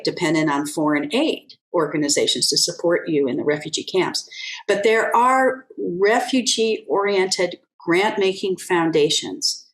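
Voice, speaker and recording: A woman speaking as a guest on a webinar, giving thoughtful, encouraging advice with pauses over a video call. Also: lowish voice, rough recording.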